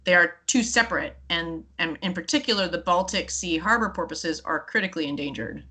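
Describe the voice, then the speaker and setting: Bright, low-voiced woman chatting about science. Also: a little fast, breathy edge. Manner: chatty, laughs between points. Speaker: podcast co-host. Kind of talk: casual science chat.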